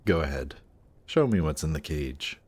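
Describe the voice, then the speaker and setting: A man's voice: extremely low pitch, lively intonation, moderate pace, a little mellow, a little hiss or hum. A man playing a suave, haughty demon prince in a fantasy audio drama, speaking in a polished, courtly and condescending manner. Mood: dryly curious.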